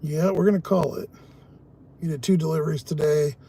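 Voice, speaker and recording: A man's voice: a little high, brisk, creaky, warm tone, breathy edge. A man on a casual live stream, chatting with viewers from his car and swearing often. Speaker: live streamer doing delivery driving. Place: inside a car.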